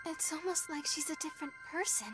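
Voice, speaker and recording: A woman's voice: high voice, steady tone, a little fast, music in the background, lightly acted. An actress playing an anxious, earnest young girl adventurer in a fantasy video game, hesitant and bewildered. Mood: bewildered, worried.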